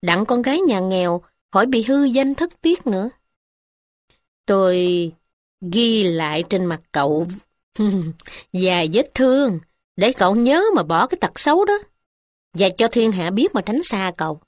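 A woman's voice: mid-range voice, big pitch swings, measured pace, dry recording, studio quality. A woman voicing a bold, blunt poor village man who stands up to the gentry. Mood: recounting. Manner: blunt, defiant.

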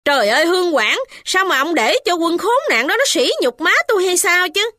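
A woman's voice: expressive, fairly quick, bright, forceful tone, no room sound, studio quality, full character voice. A woman voicing an outraged young wife crying out for help. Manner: shrill, outraged.

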